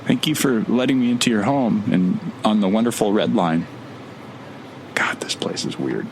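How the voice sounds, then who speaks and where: An actor in an audio drama playing a tired, irritable, lost time traveler, muttering dryly and sarcastically in a naturalistic way. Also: male voice, lowish voice, expressive, moderate pace, slight background noise, low-quality recording. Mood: uneasy, exasperated.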